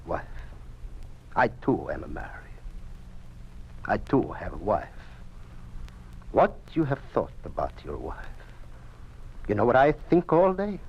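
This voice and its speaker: Anxious immigrant scientist in an old radio play. He pleads in broken English with a husky voice and pitch that swings wide. An old broadcast recording. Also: wildly swooping pitch, slow, pauses often, a little mellow, highs cut off, exaggerated voice. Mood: bitter. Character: anxious immigrant scientist Mazzini, middle-aged man. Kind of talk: 1950s radio drama acting.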